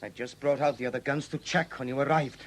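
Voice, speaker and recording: An actor playing a hot-tempered, stubborn farmer and nationalist militant in a 1970s radio drama. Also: male voice, medium pitch, fairly even intonation, fast talker, lightly acted. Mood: stubborn protest. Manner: blustering, stubborn, emphatic. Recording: hissy 1970s radio broadcast recording.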